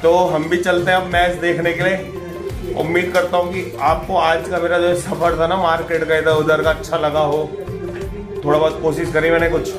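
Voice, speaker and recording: Chatty man vlogging over loud music. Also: fairly high pitch, monotone, moderate pace. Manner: chatty, jokey, addresses viewers directly. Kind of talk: casual selfie vlog chat. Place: shops, outdoor grounds and a home. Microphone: camera held at arm's length. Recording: loud music and crowd behind.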